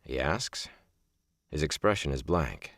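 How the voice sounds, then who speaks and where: A man reading a first-person literary book as a narrator, with measured, deliberate phrasing and emphatic stresses. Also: very deep voice, expressive, fairly bright tone, dry recording, clean studio sound. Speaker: audiobook narrator. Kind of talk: scripted audiobook narration of a reflective first-person memoir novel.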